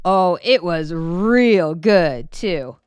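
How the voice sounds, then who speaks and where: A woman's voice: fairly low pitch, animated, very slow, a little mellow, clear, resonant tone, dry recording, pitched below the performer's own voice. A woman voicing a proud young farm child from a storybook, with folksy rural phrasing and an exclaiming manner. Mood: folksy, heartfelt.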